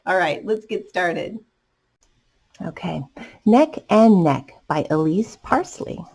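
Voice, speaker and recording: Female storytime host, picture-book read-aloud, very varied pitch, slow delivery, chatty asides for kids. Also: creaky, husky. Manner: animated, addressing young listeners.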